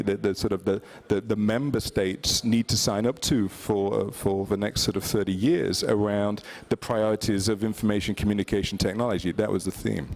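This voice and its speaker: A man's voice: on the low side, darkish tone. Male conference speaker, rambling, heavy on fillers and restarts. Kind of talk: presentation talk. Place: conference hall.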